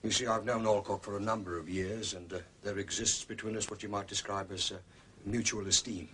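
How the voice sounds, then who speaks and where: A man plays a discreet valet in a period mystery, recorded over faint ambient noise. His voice is on the low side, and he speaks formally, choosing tactful words with small hesitations. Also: fairly even intonation, a little fast, a bit bright, close to an everyday voice. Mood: tactful, grateful. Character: discreet, loyal, courteous gentleman's manservant. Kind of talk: British period mystery drama acting. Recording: clear background ambient noise.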